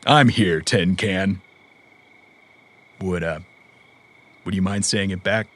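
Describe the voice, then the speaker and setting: A man playing a gruff but loyal cyberpunk mercenary in an audio drama, protective and dry, in an understated conversational delivery. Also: deep voice, slow, a bit bright, exaggerated voice. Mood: reassuring.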